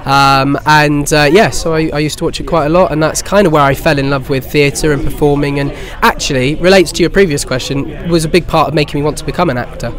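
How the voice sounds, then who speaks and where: A man's voice: a little high, steady tone, quick pace, hardly any pauses, a bit bright. A man in his twenties from southern England with an English accent, answering interview questions casually as an actor. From Bournemouth, England. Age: 20s. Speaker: pantomime actor.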